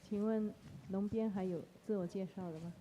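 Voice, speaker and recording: A woman acting as emcee at a temple ceremony, making formal, measured announcements. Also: mid-range voice, fairly even intonation, warm tone, a little hiss or hum, rough recording.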